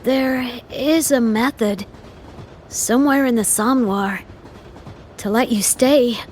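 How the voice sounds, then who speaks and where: An actress voicing a gentle, solemn young woman who acts as a guide in a video game. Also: a little high, slow pace, slight background noise. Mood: gentle, hesitant. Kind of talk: scripted video game dialogue.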